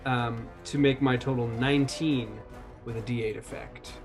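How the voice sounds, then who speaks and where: A man's voice: medium pitch, steady tone, moderate pace, over music. A man chatting casually as a player in a roleplaying game, jokingly describing what his character does.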